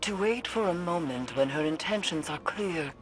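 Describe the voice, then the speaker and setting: A woman's voice: fairly low pitch, animated, normal speed, a little hiss or hum, close to an everyday voice. A woman playing a solemn, ominous mystic scholar in a video game, with formal phrasing. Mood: grim.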